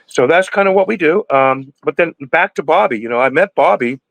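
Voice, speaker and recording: A man's voice: a little high, lively intonation, brisk, creaky, dry recording, muffled recording. A man in his sixties, a podcast guest, talking in a rambling, preachy way with many restarts. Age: 60s. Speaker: podcast guest, cannabis breeder. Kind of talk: casual interview talk.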